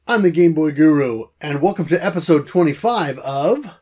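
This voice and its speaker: Higher-voiced man, scripted game review. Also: expressive, normal speed, a little mellow, slightly rough. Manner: wordy, theatrically formal. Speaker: host of a video game review series.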